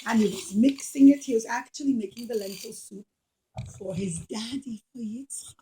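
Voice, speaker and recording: A woman's voice: fairly high pitch, moderate pace, background noise. A woman telling a puppet-show story to children in a teacherly way, addressing them directly with dramatic emphasis.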